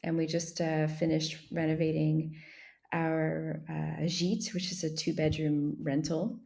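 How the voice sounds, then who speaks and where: A woman from Montreal with a low voice answers interview questions casually. She is chatty and self-deprecating, with an easy, conversational flow. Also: flat delivery, a little slow, gravelly, dark tone. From Montreal, Canada. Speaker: interview guest.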